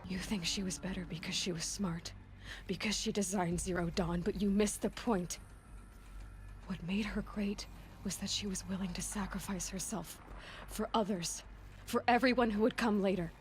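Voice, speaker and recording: An actress playing a determined, compassionate heroine in a video game, speaking earnestly. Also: female voice, medium pitch, steady tone, normal speed, close to an everyday voice. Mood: earnest, insistent. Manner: earnest, resolute. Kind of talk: dramatic video game acting. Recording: music behind the voice. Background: music.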